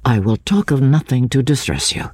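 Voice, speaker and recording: A woman voicing an earnest, gentle gentleman in a dramatic audiobook, measured, coaxing and reassuring. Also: very deep voice, expressive, breathy edge, no echo, heavily acted. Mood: calm, soothing. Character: earnest, gentle, soothing gentleman narrator-hero. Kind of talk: dramatic audiobook character reading.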